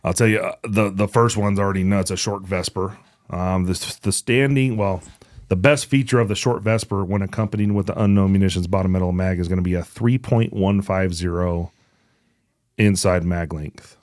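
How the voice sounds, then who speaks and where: A man's voice: low-pitched, fairly even intonation, moderate pace, a little mellow, no room sound, studio quality. A man hosting a podcast, talking casually about gear in a blunt, joking, sweary way. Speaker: podcast host.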